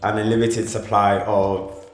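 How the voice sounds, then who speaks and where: A relaxed man in his late twenties from Croydon talks openly about his feelings. His voice is fairly low and carries a roomy echo with soft music. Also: unhurried. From Croydon, London. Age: 20s. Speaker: interviewee.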